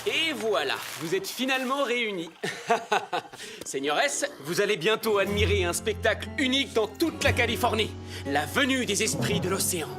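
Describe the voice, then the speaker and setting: A proud, theatrical villager from a cartoon. His high voice rises and falls animatedly over loud background music. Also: sing-song, normal speed. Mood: grand, gleeful. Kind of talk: animated cartoon dub acting.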